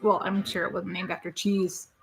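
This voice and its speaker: A woman's voice: fairly low pitch, flat delivery, normal speed. A woman co-hosting a live stream, chatting casually and rambling as she reads viewer comments. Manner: chatty and rambling, spelling words out. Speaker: co-host of a live watch party stream.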